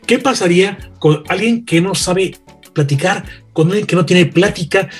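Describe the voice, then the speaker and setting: Husky Mexican man telling radio anecdotes. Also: sits high, moderate pace, soft background music. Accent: Mexican Spanish. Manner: storytelling, earnest and didactic. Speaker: veteran radio announcer. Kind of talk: anecdotal conversation.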